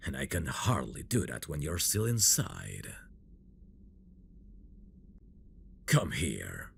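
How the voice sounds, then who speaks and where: A man playing a possessive, teasing fantasy villain devoted to his bride in an intimate ASMR audio drama, with a gravelly murmur that often drops into breathy whispers. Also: sits low, a little mellow, a little hiss or hum, full character voice. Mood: smug, menacing. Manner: slow, teasing, seductive delivery.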